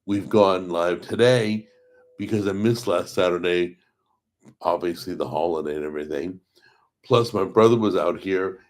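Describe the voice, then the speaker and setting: A middle-aged man hosts a live stream in a hoarse, weakened voice. His pitch sits very low, and he talks in a rambling, chatty way, stopping to greet viewers by name. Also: normal speed. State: hoarse from a paralyzed vocal cord. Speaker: host of a cooking channel livestream. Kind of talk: casual live stream chat.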